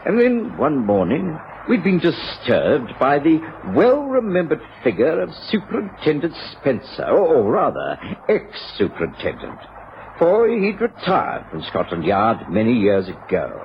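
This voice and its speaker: A man playing a genial, burly English doctor who narrates a radio detective drama in a genteel, measured manner. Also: a little high, huge pitch swings, gravelly, a little hiss or hum, exaggerated voice. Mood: reflective storytelling. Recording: muffled radio recording with music.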